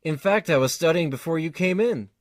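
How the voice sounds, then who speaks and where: A woman's voice: sits low, lively intonation, brisk, very noisy. A woman playing a friendly, easygoing young male student, mild and affable, in an audio drama. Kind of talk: fan audio drama acting.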